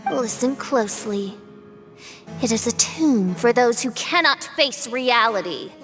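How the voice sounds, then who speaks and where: Dramatic anime game princess girl, high sharp voice, talks slow n grand, super formal. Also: expressive, faint music behind. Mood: menacing, haughty. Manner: theatrical, grandiose, archaic formal speech. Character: theatrical young girl princess facing her cruel dark double.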